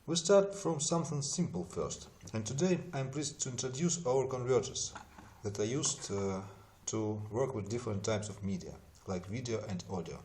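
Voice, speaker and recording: A man who is not a native English speaker narrates an explanatory software tutorial in a methodical, step-by-step way. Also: medium pitch, expressive, moderate pace, hardly any pauses.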